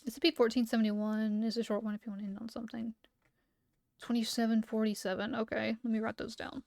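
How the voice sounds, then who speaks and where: A woman livestreamer reading document entries aloud and chatting between them, her voice a little hoarse from talking so much, sometimes skipping text with "blah, blah". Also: a little high, little pitch movement, fast, darkish tone, no room sound, studio quality. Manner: skips text with "blah, blah" asides. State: losing her voice. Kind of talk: reading entries aloud, then casual chat.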